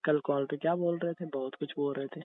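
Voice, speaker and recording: A man's voice: a little high, steady tone, brisk, natural voice. Man playing a teasing young husband, phone-like sound. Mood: mock sulky. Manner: teasing, coaxing, repetitive insisting. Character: teasing, wheedling young husband, playfully sulky. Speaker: husband in a couple's call. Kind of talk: intimate casual couple banter. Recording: tinny narrow phone-call sound.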